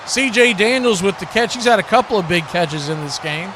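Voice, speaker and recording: An American man giving live football play-by-play in short clipped phrases that build with excitement. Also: sits high, brisk, noisy background. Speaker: play-by-play announcer.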